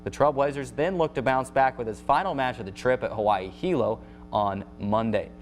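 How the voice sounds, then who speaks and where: An American man hosting a sports show, reading a scripted recap of scores and highlights as an announcer. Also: normal speed, faint music behind.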